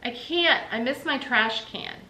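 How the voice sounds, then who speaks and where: A woman's voice: a little high, measured pace, sharp tone, voice pushed up. A woman voicing a sleepy, needy little sister in a children's book read-aloud. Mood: plaintive. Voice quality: slurry, drowsy. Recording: roomy, noticeable background hiss.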